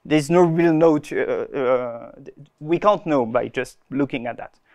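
A young man presenting a technical talk at a conference, pausing and restarting often as he explains. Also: mid-range voice, highs cut off. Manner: hesitant, many restarts and fillers. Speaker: conference speaker. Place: conference room.

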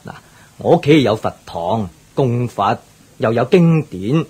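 Devout, welcoming middle-aged shop owner, very husky mid-range voice, Cantonese radio drama, polite and reassuring. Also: male voice, medium pitch, animated, unhurried, a little mellow. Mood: cheerful. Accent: Cantonese, Hong Kong. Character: hospitable, devout middle-aged overseas Chinese shopkeeper.

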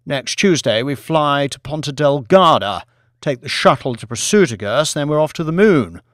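Guy doing a cheery laid-back bloke for an audiobook, breezy and offhand, lively pitch, close dry sound. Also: moderate pace, no echo, lightly acted. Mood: breezy, assured. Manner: casual, offhand, upbeat. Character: Billy, jovial, breezy, beer-drinking man, cool and evasive.

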